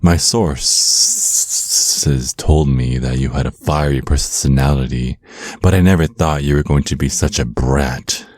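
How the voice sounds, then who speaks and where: A man voicing a flirty, menacing vampire naga crime lord in an ASMR roleplay, smug and teasing, with deliberate, drawn-out threats. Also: very deep voice, darkish tone, no echo.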